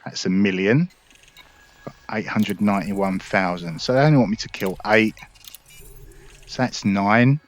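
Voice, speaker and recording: A man's voice: on the low side, measured pace, pauses often. A man giving casual gameplay commentary, explaining things informally and directly to his viewers. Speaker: gaming video creator.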